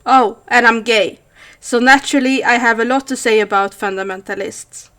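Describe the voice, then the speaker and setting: A woman's voice: on the high side, moderate pace, pushed and bright. A woman in her thirties from Sweden with a Swedish accent, speaking thoughtfully to camera with frequent hesitations. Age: 30s. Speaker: vlogger. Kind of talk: casual monologue to camera.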